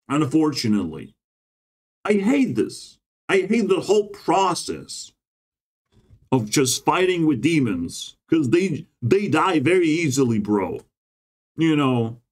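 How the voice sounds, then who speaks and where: Rambling man who keeps saying "bro". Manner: meandering, swears often, ends phrases with "bro"; pitch jumps up and drops low often. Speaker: vlogger. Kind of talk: rambling casual monologue.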